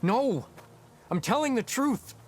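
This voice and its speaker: Young game warrior, earnest and pleading, widely swinging pitch, faint score behind. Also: male voice, sing-song, slow pace, faint music behind, full character voice. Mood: desperate, pleading. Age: young adult. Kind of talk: video game cutscene acting.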